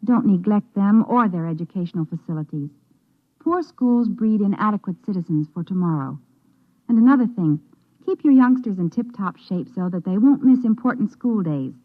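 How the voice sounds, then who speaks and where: A woman's voice: mid-range voice, expressive, moderate pace. American woman, 1950s radio public service spot. Earnest, instructive, scripted; very thin, phone-like vintage recording. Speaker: singing schoolteacher giving a public service message.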